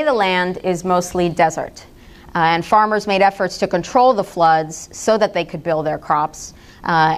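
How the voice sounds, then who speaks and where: Middle-aged woman speaker at a public talk, direct and impassioned, a smooth, slightly low voice. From United States. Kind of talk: public lecture.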